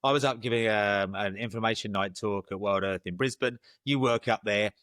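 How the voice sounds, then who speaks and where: A man's voice: lowish voice, fairly quick, a bit bright, no room sound, clean studio sound. A middle-aged man hosting a casual interview podcast, enthusiastic and a little rambling, often restarting his sentences. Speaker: podcast host.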